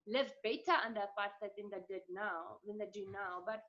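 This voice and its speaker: A woman's voice: medium pitch, steady tone, brisk, a bit bright. A woman in her thirties interviewing a guest, talking in a thoughtful, conversational way over an online call. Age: 30s. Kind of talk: casual conversation. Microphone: video call. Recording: dull lo-fi call audio.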